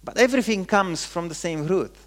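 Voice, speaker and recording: A man preaching with a Romanian accent, his voice pitched well above the usual male range. He delivers the sermon as a pastor would, in short emphatic phrases, often pausing and restating a word to give it weight. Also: animated, fairly bright tone.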